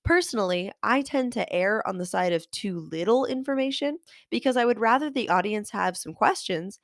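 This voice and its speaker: A woman podcast host and author, sounding nasal and congested from a cold, giving a measured, scripted lesson on fiction writing. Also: medium pitch, lively intonation, no echo, clean studio sound.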